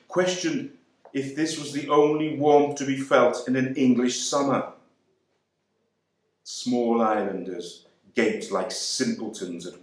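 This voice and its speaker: Slow, deliberate man in an echoey room. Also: medium pitch. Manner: measured, deliberate, emphatic delivery. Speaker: reader performing a monologue. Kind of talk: dramatic literary monologue reading.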